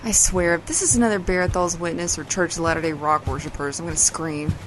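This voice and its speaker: A woman's voice: on the low side, fast talker, noisy background, natural voice. A woman playing an exasperated, dry-witted character in casual audio drama banter, sardonic and matter-of-fact. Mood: irritated.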